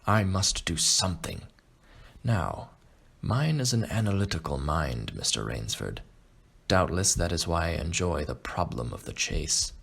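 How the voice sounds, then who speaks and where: Man voicing a pompous, gravelly aging Russian general. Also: low voice, expressive, fairly bright tone, exaggerated voice. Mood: self-assured. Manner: pompous, measured, self-satisfied. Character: refined, boastful aging Russian aristocrat general and hunter. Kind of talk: audiobook character acting.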